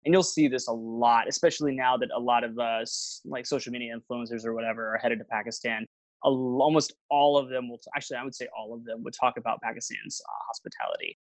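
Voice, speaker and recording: A man's voice: mid-range voice, fairly even intonation, quick pace, no echo, poor audio. A young man, a travel vlogger, chatting casually as an interview guest and telling stories with lots of fillers and restarts. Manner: rambling storyteller, many fillers and restarts.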